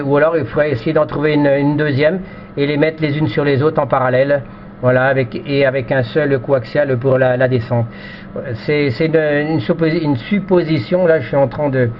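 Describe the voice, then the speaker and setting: A man chatting casually as an amateur radio operator, repeating himself and often ending phrases with "hein", with a two-way radio sound. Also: a little high. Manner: repetitive, tags phrases with "hein". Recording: dull lo-fi radio recording with noise.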